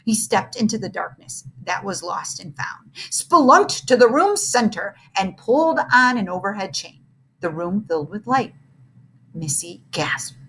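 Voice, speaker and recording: Animated woman reading a children's story. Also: medium pitch, unhurried, breathy edge, low-quality recording. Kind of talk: expressive scripted narration.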